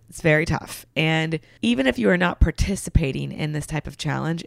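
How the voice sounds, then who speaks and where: A woman hosting a podcast and answering a listener question in casual chat, her voice hoarse as it recovers from a throat infection. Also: on the low side, no echo, clean studio sound. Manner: chatty and earnest. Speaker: podcast host and therapist.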